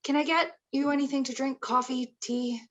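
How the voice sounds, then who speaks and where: A woman plays a hospitable, grieving mother in a drama table read. The voice is fairly high, with courteous, polite phrasing. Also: steady tone, moderate pace, dull audio, close to an everyday voice.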